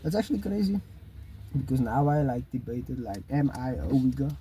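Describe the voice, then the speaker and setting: A man's voice: mid-range voice, normal speed, background noise. A man, co-hosting a casual podcast, laid-back and musing, often trailing off mid-thought. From South Africa. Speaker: podcast co-host.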